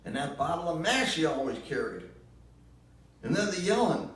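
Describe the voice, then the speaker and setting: A man putting on a shrill, furious mother. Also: sing-song, a little slow, a little hiss or hum, exaggerated voice. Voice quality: loud and shrill, spitting scorn. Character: bitter grieving southern mother, middle-aged woman. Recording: echoey room, mic far off.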